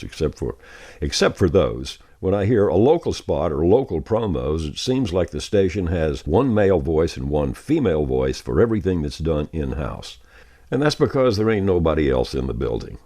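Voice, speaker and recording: Very deep, mellow older man, animated delivery. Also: breathy edge. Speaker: radio veteran, podcast host. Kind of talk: conversational scripted commentary on radio.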